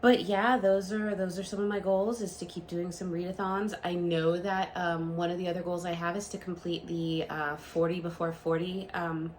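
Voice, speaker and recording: A woman's voice: on the low side, level tone, normal speed, few pauses, a little hiss or hum. A woman in her late thirties hosting a book channel, talking casually to camera, a bit nasal and stuffed up from a cold, with lots of ums and restarts. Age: 30s. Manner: chatty, frequent ums, rambling restarts.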